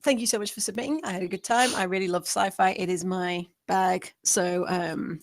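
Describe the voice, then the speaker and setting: A woman giving casual feedback as a panelist, friendly, rambling and softening her points. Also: medium pitch, fairly even intonation, moderate pace, no echo, studio quality. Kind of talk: casual chat.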